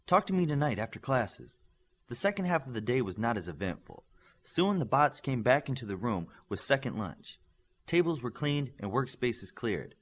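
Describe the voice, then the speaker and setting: A male audiobook narrator reads a scripted story. His mid-pitched voice has a wide, animated range of intonation.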